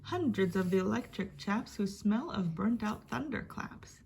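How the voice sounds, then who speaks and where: A woman storyteller giving a dramatic reading of a rhyming picture book, expressive, with hushed and emphatic passages. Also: medium pitch, measured pace, creaky. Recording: faint background noise.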